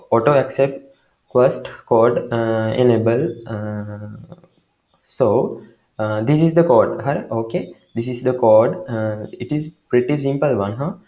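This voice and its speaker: Give me a man with a Sri Lankan accent talking slowly with a warm, dark tone. He explains the steps haltingly, stopping often to restart, and the room around him is echoey. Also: mid-range voice. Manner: hesitant, halting, many fillers and restarts. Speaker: tutorial maker. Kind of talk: screen-recorded software tutorial.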